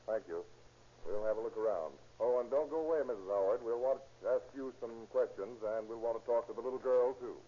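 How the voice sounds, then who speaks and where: Male actor for a businesslike detective, mellow tone, slangy, 1930s radio drama, dull noisy recording. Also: medium pitch, a little fast, natural voice. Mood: brisk, businesslike. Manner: plain-spoken, slangy. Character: grumbling, businesslike male police detective on duty.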